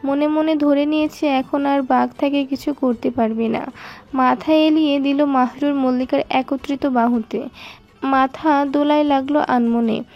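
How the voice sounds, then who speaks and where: A woman's voice: high voice, steady tone, measured pace, dull audio, faint music behind. A woman narrating a scripted romantic story.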